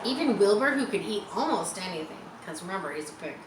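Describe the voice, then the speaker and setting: A woman's voice: mid-range voice, lively intonation, fast. A woman reading a storybook aloud to children expressively, with explanatory asides. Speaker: storybook reader. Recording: echoey room, faint background noise.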